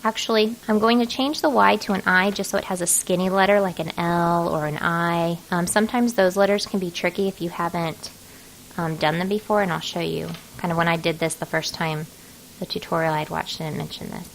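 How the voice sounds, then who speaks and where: A woman narrating an informal software tutorial, talking casually and thinking aloud as she works. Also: on the low side, fairly even intonation, brisk, gravelly, slight background noise, poor audio. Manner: casual, folksy, thinking aloud while working. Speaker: craft design software tutorial host.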